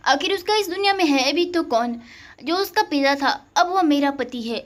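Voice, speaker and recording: A woman voicing a cruel, suspicious stepmother in a cartoon story, accusing and sharp-tongued. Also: sits high, lively intonation, normal speed. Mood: scheming, resentful.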